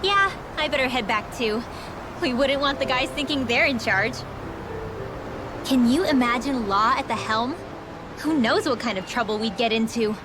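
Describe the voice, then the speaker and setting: Woman playing a high-voiced earnest young mage girl. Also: lively intonation, moderate pace, noisy background, natural voice. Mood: warm, reassuring. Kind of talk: video game cutscene voice acting. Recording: music behind the voice.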